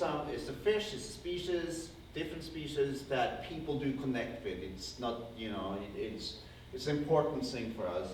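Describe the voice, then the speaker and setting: Norwegian accent guy giving a talk, kinda hesitant and rambly, mic far away in a really echoey room, bit of noise. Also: medium pitch. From Norway. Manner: hesitant, rambling, repeats and rephrases himself often. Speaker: conservation project founder giving a guest lecture. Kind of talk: informal slide presentation to an audience. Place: indoor university lecture room.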